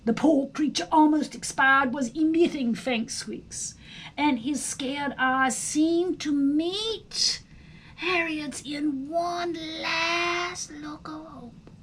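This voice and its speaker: A woman reading a storybook aloud as narrator, in an expressive, dramatic style with a hushed storytelling manner. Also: sits high, takes it slowly, a little hiss or hum. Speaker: storybook reader.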